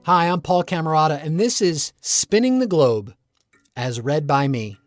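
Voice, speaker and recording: Male poet, fairly high voice with lively swings, rhythmic theatrical verse over music. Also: unhurried, no room sound. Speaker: poet reading own poem. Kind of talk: rhymed poem recitation.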